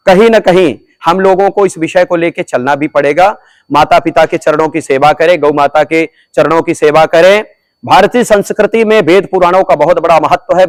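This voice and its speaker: A man with a high-set voice recorded close and dry, speaking as a religious leader at a press conference. He talks in an emphatic, preachy way and repeats phrases to drive his points home. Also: no echo.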